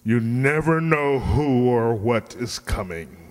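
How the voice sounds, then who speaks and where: A man formally and deliberately reading a prepared announcement to an audience as director and host. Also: medium pitch, lively intonation. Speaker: director and host of a radio play group. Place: indoor hall, live stage event. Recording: reverberant hall.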